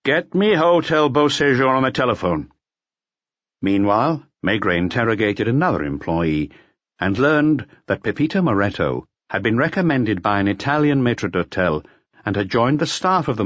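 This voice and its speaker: Lively male audiobook narrator, slightly dull sound. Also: lowish voice, moderate pace, no room sound. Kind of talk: scripted narration of a detective novel.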